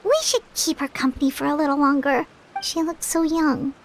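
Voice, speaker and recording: A woman voicing a tiny, childlike fairy companion in a video game, squeaky, chattery, excitable and timid. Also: moderate pace, a little hiss or hum. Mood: concerned. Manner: chattery, refers to self in third person. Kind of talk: scripted video game acting.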